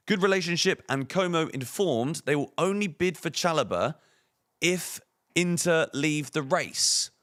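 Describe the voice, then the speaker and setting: A man with a croaky, hoarse voice, giving opinionated football transfer news commentary on a fan channel, full of emphatic rhetorical questions. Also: fairly high pitch, fairly bright tone.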